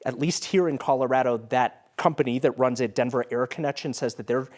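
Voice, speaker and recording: A man's voice: medium pitch, brisk, darkish tone, no echo, studio quality. An American man delivering a broadcast news report as a TV reporter.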